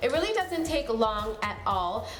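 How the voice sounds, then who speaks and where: A woman's voice: a little high, very animated, a little slow, fairly bright tone, reverberant, faint music behind. A young woman in her twenties talking to camera as a beauty YouTuber at home, in a casual, chatty way full of "um" and "like". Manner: chatty, many fillers like "um" and "like". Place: room at home.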